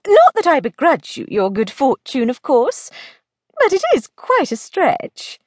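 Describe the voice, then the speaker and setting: A pert young lady of society, acted by a woman narrator, playful and sharp-tongued. Her high voice leaps about with great animation, as in a romantic audiobook. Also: wildly swooping pitch, unhurried, no echo, clean studio sound, heavily acted. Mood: blunt, sarcastic.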